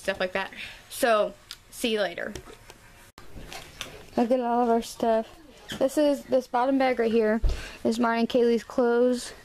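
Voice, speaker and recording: A young woman rambling casually as she vlogs on a handheld camera, with lots of fillers. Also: on the high side. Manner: chatty, rambling, filler-heavy. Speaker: vlogger. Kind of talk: casual vlog chat. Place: bedroom, then car and hotel room. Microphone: handheld camera at arm's length. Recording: noisy handheld camera audio.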